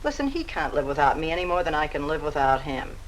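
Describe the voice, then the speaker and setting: A woman's voice: low voice, very animated, fast, a little hiss or hum, poor audio. An actress playing a brassy, scornful young American woman, with a slangy, drawling, mocking delivery in mid-century film melodrama style. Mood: lovestruck, dismissive.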